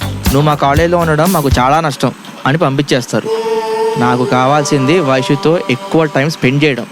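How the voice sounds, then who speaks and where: A man's voice: mid-range voice, lightly acted. A man playing an anxious, lovestruck young college student in a comedy audio drama, bantering in exasperated slang. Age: young adult.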